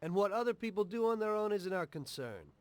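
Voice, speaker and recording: An actor playing a weary, pragmatic middle-aged mobster in a video game, plainspoken and measured. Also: male voice, high-pitched, lively intonation, no room sound. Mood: defensive, insistent.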